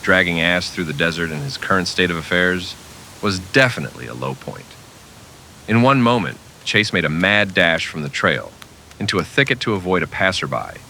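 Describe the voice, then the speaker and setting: A man's voice: deep voice, lively intonation, moderate pace, pushed and bright, a little hiss or hum, rough recording. A man narrating a scripted old-time western radio drama with dramatic storytelling delivery.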